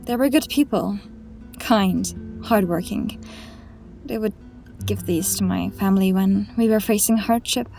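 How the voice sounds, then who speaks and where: A woman acts out a righteous young heroine of a fantasy audio drama, carrying grief. She speaks slowly and deliberately, in a medium-pitched voice. Faint music plays behind her. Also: gravelly, warm tone. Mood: tender, grieving. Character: tall, grieving, righteous young woman in inquisitor's disguise.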